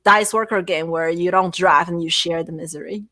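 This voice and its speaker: Female guest, non-native English, bright and dry, lively and self-deprecating. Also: fairly low pitch, normal speed, no room sound. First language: not English. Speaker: guest content creator. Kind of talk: casual livestream chat.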